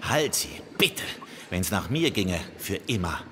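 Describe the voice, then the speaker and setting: An actor playing a grumpy, scornful devil in a radio play, sneering and coarse. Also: male voice, deep voice, very animated, unhurried, fairly bright tone, full character voice. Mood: scornful, annoyed. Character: grumpy, scornful devil mocking his lovesick companion. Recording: long reverb, background noise.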